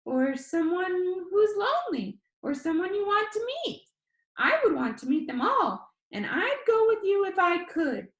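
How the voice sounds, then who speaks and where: A woman voicing an energetic, upbeat, slightly weird young male video host in an audiobook, with bubbly, emphatic, encouraging delivery. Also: extremely high pitch, a little slow, reverberant, voice pushed up, full character voice. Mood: playful, encouraging. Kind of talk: theatrical audiobook character voice.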